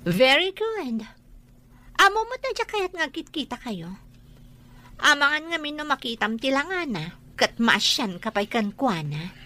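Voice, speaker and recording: A woman's voice: sits high, big pitch swings, measured pace, bright, forceful tone, heavily acted. An actress playing a coaxing, evasive woman sweet-talking her partner in a radio comedy drama. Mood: sweetly probing.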